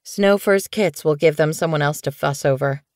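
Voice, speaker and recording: A woman's voice: deep voice, normal speed, no room sound, studio quality, close to an everyday voice. A woman voicing a caring, anxious young female cat in an audiobook, an earnest and soothing sister.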